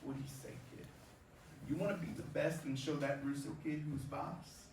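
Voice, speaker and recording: High-voiced cocky baseball veteran, played by a man. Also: fairly even intonation, normal speed, a little mellow, close to an everyday voice. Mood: menacing, coaxing. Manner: brash, swaggering, slangy tough-guy talk. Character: cocky, crude, manipulative veteran baseball star mentoring a rookie. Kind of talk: staged reading of a play, theatrical dialogue. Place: indoor performance space, recorded from afar. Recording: roomy distant stage recording, background noise.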